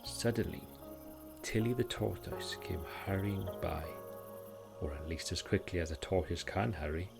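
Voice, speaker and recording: A Welsh man gently narrating a scripted bedtime story in a soothing, calming way, as its host. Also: sits low, moderate pace, vocal fry. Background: music.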